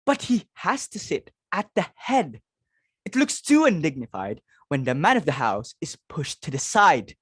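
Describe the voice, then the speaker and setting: A woman's voice: sits low, very animated, measured pace, husky, no echo, clean studio sound, full character voice. A woman playing a fussy, snobbish society hostess and mother in a dramatic reading of a stage comedy, speaking in a haughty, exclamatory way. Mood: fussy, disdainful.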